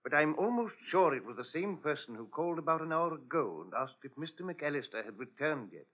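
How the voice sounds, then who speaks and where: An actor playing a polite, hesitant and servile butler in a 1940s radio drama. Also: male voice, a little high, very animated, brisk. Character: deferential, hesitant household butler. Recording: muffled old radio broadcast.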